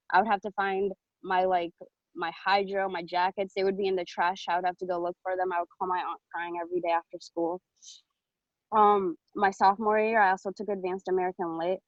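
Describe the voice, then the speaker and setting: A young woman from Ethiopia reads her own speech over a video call, earnest and personal, pausing with fillers. Her voice sits a little low and is dry and close, and the call audio sounds dull and lo-fi. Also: no echo. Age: around 20. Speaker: public commenter. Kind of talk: reading a prepared speech.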